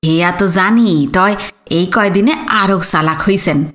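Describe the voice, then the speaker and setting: A woman's voice: lowish voice, measured pace, a bit bright, pitched below the performer's own voice. A woman voicing an eager young male village servant with a rustic East Bengali accent and deferential, folksy manner in an audio story. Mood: admiring, conspiratorial.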